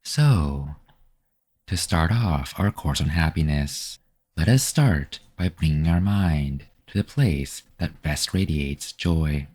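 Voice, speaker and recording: Really deep voiced guy doing a guided meditation, super slow and gentle, reading calm instructions. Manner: gentle, unhurried instructional delivery. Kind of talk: scripted guided meditation narration.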